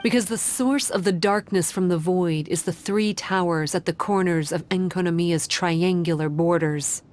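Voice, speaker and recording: A woman playing a grave, enigmatic young shrine maiden in a video game, speaking in a measured, guarded, formal way. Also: fairly low pitch, a little mellow, natural voice. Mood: solemn explaining. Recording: music behind the voice.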